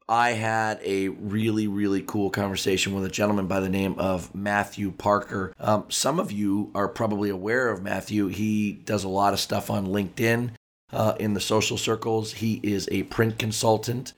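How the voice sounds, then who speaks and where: A man hosting a podcast, talking in a casual, chatty way with a raspy, hoarse voice, often repeating words and filling pauses. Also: fairly low pitch, little pitch movement. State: hoarse from overuse. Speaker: podcast host.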